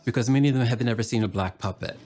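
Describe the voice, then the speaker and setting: A man speaking as an artist and puppeteer in a reflective documentary interview, measured and explanatory. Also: medium pitch, a little mellow, a little hiss or hum.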